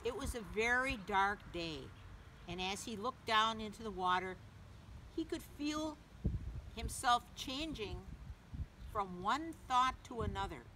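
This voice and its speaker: Lady doing storytime for little kids, gentle simple wording, chats with the listener, noisy recording. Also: medium pitch. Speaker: children's story reader, teacher. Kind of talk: read-aloud storytime for young children. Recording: clearly noisy background.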